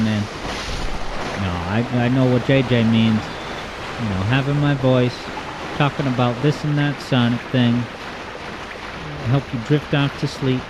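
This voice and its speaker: A male livestream host with a smooth voice of middling pitch, chatting at home through a streaming mic with boosted EQ. He rambles, jokes and pokes fun at himself while reading viewer comments. A steady hiss of background noise sits under his voice. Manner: rambling, joking, self-deprecating.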